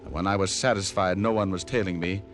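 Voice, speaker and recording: An American actor playing a cool-headed, wisecracking private detective in an old-time radio crime drama, dry and unruffled. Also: male voice, deep voice, steady tone, fast, over music, lightly acted. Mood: steady, wry. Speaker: actor playing the lead and narrator. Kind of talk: 1940s-50s radio detective acting and narration.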